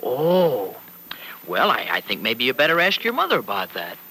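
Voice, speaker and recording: An actor playing a fretful, protective, sardonic uncle in a folksy, hesitant way, in old-time radio serial style. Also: male voice, mid-range voice, huge pitch swings, normal speed, sharp tone, exaggerated voice. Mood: folksy, bemused. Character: Uncle Freddy, gruff-hearted fretful family man, protective and sardonic. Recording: noisy vintage radio recording.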